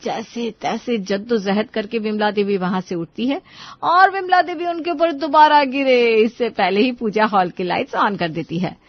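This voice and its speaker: A woman's voice: fairly high pitch, normal speed, dry recording, lo-fi sound. A woman reading scripted narration for a cartoon moral story.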